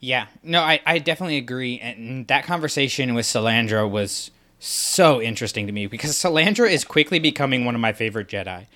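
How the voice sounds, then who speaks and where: A man's voice: mid-range voice, expressive, brisk, few pauses, pushed and bright. A man co-hosting a podcast, talking through a fan analysis in an emphatic way and acting out imagined reactions. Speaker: podcast co-host.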